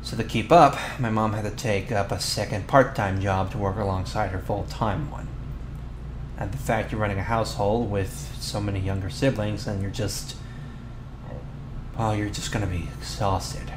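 A flustered teenage bully boy, acted by a man in an ASMR boyfriend roleplay. His voice sits on the low side, and he talks casually and haltingly, defensive yet sheepish. The sound is roomy, with clear background noise. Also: steady tone, lightly acted. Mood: weary, confessional.

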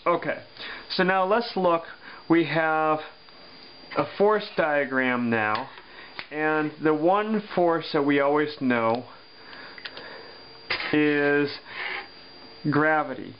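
A man teaching physics, working a problem step by step on paper and thinking aloud with pauses and fillers. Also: a little high. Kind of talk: worked-example lesson over paper. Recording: dull lo-fi sound with faint hum.

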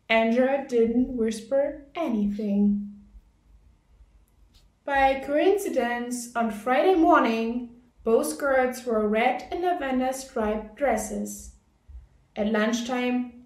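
A woman reading a children's storybook aloud as narrator, in a deliberate, careful and expressive way. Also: high-pitched, echoey room, rough recording. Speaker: storybook reader.

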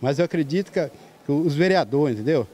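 Fast-talking, high-voiced Brazilian man. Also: expressive, mellow. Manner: colloquial, frequent tag questions. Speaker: market vendor. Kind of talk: street interview, informal complaint. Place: large open-sided market hall. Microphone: handheld reporter microphone.

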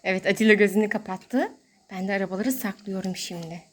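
A woman's voice: medium pitch, fast. A woman hosting toy play for young children as a mother, talking in a sing-song, exclaiming way and drawing out her words.

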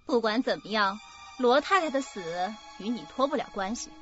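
An actress playing a haughty young wife in a radio drama, scornful and scolding. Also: female voice, fairly high pitch, expressive, normal speed. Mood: accusing. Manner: sharp, scolding. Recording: dull lo-fi audio, faint music.

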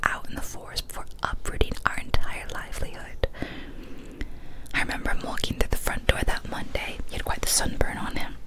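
Whispered female voice, young adult, ASMR storytelling, breathy and soft, deliberate phrasing, close studio mic. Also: poor audio. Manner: hushed, deliberate narration. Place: small decorated room indoors. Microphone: large studio microphone up close.

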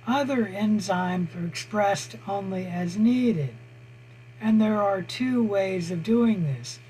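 Hoarse, high-voiced male lecturer. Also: darkish tone, a little hiss or hum, low-quality recording. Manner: slow, halting, with frequent "uh" pauses. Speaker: college biology instructor. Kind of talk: online lecture over screen share. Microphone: computer mic on a video call.

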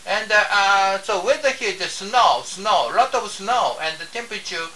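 Male amateur radio operator, Japanese accent, halting and repetitive, recorded off-mic with faint hiss. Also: sits high, animated, pushed and bright, far from the mic, low-quality recording. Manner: halting, repeats phrases and spells out call signs. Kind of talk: ham radio contact. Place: home radio room indoors.

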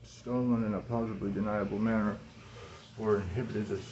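A man in his thirties in a rambling, halting monologue, repeating words and phrases, recorded on a phone indoors through a cloth mask. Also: on the low side, little pitch movement, a little mellow, highs cut off, slight background noise. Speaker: vlogger talking to camera. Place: small room indoors. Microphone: phone at arm's length, speaking through cloth mask.

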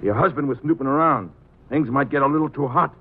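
Gravelly, surly radio thug acted by a man. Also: medium pitch, fast, lightly acted. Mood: gruff, wary. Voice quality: gravelly, husky. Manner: terse, tough. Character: big, menacing, surly male crook. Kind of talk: 1950s radio drama acting. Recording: dull 1950s radio recording.

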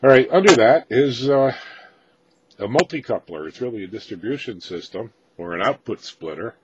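A man giving an informal, unscripted walkthrough of equipment, pausing often mid-phrase as a hobbyist presenter. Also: medium pitch, lively intonation. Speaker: hobbyist presenter of radio gear. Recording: dull lo-fi sound with faint noise.

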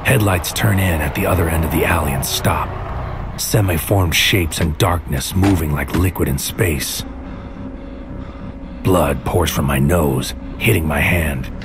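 An actor playing a brooding man on a surreal mission, narrating in clipped, poetic, deliberate phrases like a noir audio drama. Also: extremely low pitch. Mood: brooding, intense. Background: music.

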